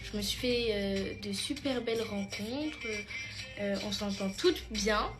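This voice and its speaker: A woman's voice: mid-range voice, unhurried. A teenage girl chatting casually in a get-ready-with-me vlog, answering her followers' questions with lots of fillers. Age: teens. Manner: chatty, many fillers, addresses followers as "mes stars". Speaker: beauty pageant contestant vlogger. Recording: music playing under the voice. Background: background music.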